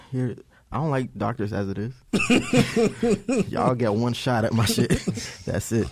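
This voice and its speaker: A man's voice: medium pitch, slow pace, heavy background noise. A man in his twenties, a guest on a casual podcast chat, joking in a blunt, profane way. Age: 20s.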